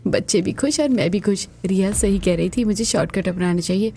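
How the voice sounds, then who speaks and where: A woman voicing the women of a cartoon household with an expressive, theatrical delivery. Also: medium pitch, brisk, vocal fry, slight background noise, lightly acted. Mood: pleased. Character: women of a cartoon household, scheming young daughter-in-law and exasperated elders. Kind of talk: dramatised cartoon dialogue.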